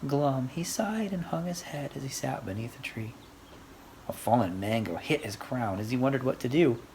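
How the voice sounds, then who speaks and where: An adult storyteller reading a rhyming picture book aloud for children in an expressive, sing-song way. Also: medium pitch, moderate pace. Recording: faint background noise.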